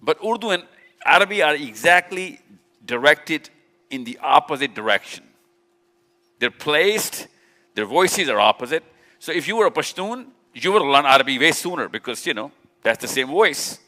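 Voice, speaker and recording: A man's voice: a little high, expressive, normal speed, bright, forceful tone. A man speaks live to an audience, direct and teasing, often repeating himself. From Pakistan. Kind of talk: live talk with audience interaction. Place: large event hall. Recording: long hall reverb at a live event.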